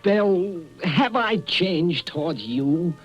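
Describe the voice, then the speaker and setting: A man's voice: high voice, animated, slow, slightly rough, exaggerated voice. An actor playing an insistent, defensive young businessman in a vintage radio drama. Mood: defensive, pleading. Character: defensive young businessman confronting his fiancée. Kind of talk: 1930s radio acting. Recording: muffled vintage radio broadcast, faint music.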